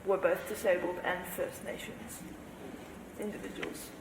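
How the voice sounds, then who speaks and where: Woman in her 20s, lowish voice, rambly, lots of like and um, echoey room w faint hum. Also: flat delivery, measured pace. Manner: rambling, lots of fillers and hedges. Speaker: panel guest. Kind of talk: panel discussion answers. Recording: roomy hall with faint ambience.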